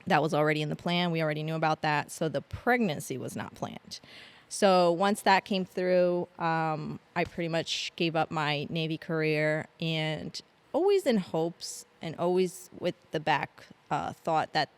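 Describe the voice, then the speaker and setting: Low-voiced chatty woman guest. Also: unhurried, clean tone. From grew up in Argentina. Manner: chatty, laughs often, many ums. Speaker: podcast guest, Air National Guard staff sergeant. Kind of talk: casual interview storytelling.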